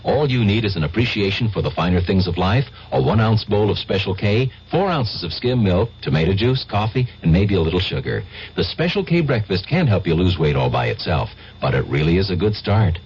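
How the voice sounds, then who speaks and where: A man's voice: big pitch swings, a little fast, a little mellow. A man reading a radio commercial in a friendly, conversational style. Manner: friendly, conversational sales pitch. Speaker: commercial announcer. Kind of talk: scripted radio advertisement. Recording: dull vintage radio broadcast, faint hiss.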